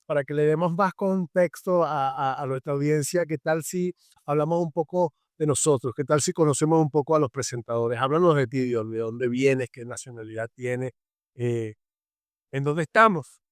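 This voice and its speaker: A man's voice: on the high side, normal speed, no room sound, clean studio sound. Venezuelan man hosting a faith podcast. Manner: warm welcoming, many fillers and restarts. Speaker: podcast co-host.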